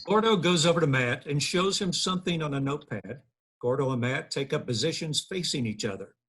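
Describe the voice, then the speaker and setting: Husky man reading stage directions. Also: a little high, brisk, fairly bright tone. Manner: plain, matter-of-fact reading. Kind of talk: table-read narration.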